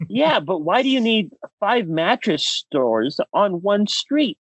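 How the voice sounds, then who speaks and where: A man's voice: high voice, big pitch swings, slow pace, no echo. A man hosting a casual podcast, bantering with playful, mock-outraged showmanship. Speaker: podcast host.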